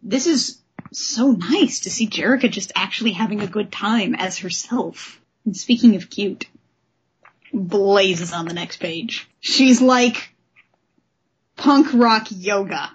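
A woman podcast host talks in a chatty, enthusiastic way at an ordinary pitch. Her voice is a bit breathy and rough-edged, and the audio sounds slightly muffled and lo-fi. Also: takes it slowly. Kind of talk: casual comic book discussion.

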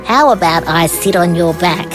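A woman's voice: lowish voice, moderate pace, lightly acted. A woman voicing a lonely, friendly little male bee in a children's story, over background music. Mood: eager.

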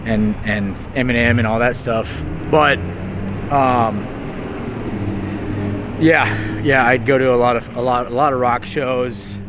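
A man's voice: medium pitch, fairly even intonation, slow, long pauses, background noise. Rambling young man from Texas. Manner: rambling, hesitant, heavy use of "like". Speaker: music artist interviewed. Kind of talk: casual interview talk.

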